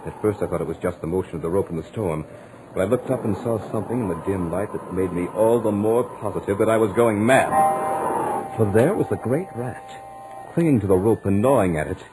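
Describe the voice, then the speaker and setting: An actor playing an anxious student who narrates his mounting dread in a dramatic, deliberate 1940s radio horror style. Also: on the low side, very noisy, close to an everyday voice. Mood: mounting terror. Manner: dramatic, deliberate, suspenseful delivery. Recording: old 1940s radio recording, music behind. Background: dramatic musical score.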